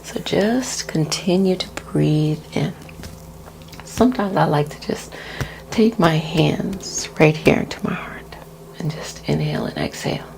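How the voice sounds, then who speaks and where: A woman with a soft, breathy voice that often drops to a whisper gives a soothing, gently reassuring ASMR energy healing talk. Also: deep voice, measured pace. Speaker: energy healer and host of healing sessions.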